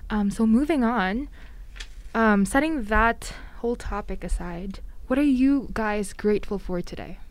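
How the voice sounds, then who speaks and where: A woman's voice: on the high side, a little slow. A woman co-hosting a faith podcast, speaking earnestly and guiding the conversation. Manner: earnest, affirming, steers the talk. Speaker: podcast co-host. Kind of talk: casual faith podcast chat.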